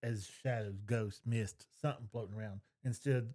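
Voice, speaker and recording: A man's voice: fairly low pitch, steady tone, brisk, mellow. A middle-aged man, giving blunt opinions in casual podcast talk and repeating his point. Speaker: podcast co-host.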